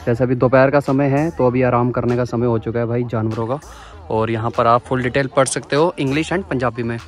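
A man's voice: medium pitch, fairly even intonation, fairly quick, soft background music. A man vlogging a casual walking tour of a zoo, chatty and enthusiastic, often exclaiming, recorded outdoors on a handheld camera. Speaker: travel vlogger.

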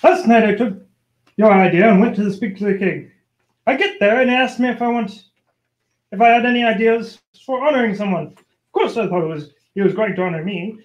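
A man's voice: high voice, brisk, roomy sound, muffled recording, natural voice. A man playing a proud, aggrieved scheming lord with an indignant, complaining manner in an amateur radio play. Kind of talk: amateur old-time radio play acting.

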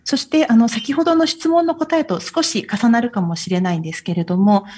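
Husky-voiced woman interpreting. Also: fairly high pitch, fairly quick, a little mellow. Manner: careful, filler-laden delivery. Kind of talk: consecutive interpreting, English and Japanese.

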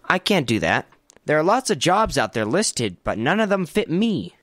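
A man's voice: fairly high pitch, big pitch swings, moderate pace, fairly bright tone, no echo. A man acting as an earnest, hesitant youth looking for work in a family radio comedy. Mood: discouraged.

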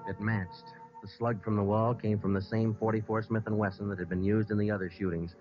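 A man with an American accent playing a terse, deadpan police sergeant in an old-time radio crime drama, both narrating and questioning. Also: lowish voice, fairly quick, close to an everyday voice. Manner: clipped, deadpan, matter-of-fact. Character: terse, businesslike male police sergeant narrating his case. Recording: muffled vintage radio recording with music.

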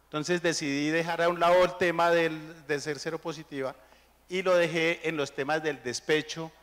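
A man's voice: fairly high pitch, normal speed. Middle-aged Colombian man on a hall stage. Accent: Colombian Spanish. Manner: relaxed storytelling, anecdotal. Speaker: cartoonist panelist. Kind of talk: onstage panel talk. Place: large hall stage before an audience. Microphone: handheld mic. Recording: reverberant hall.

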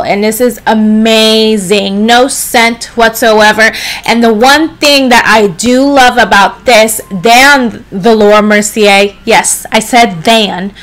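A young woman in her twenties with a slightly raspy voice, chatting casually to camera in a bedroom as a beauty vlogger, just up from a nap. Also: a little high. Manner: chatty, expressive hand gestures, playful asides. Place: bedroom, indoors. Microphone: camera in front at desk distance.

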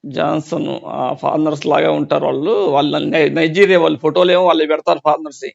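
A man with a Telugu accent talks over a dull phone recording. His voice sits fairly high with a strong creak. He advises informally, jumping in with knowing remarks and repeating himself for emphasis. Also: little pitch movement, quick pace. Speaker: phone adviser warning about fraud. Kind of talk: casual phone conversation, advising.

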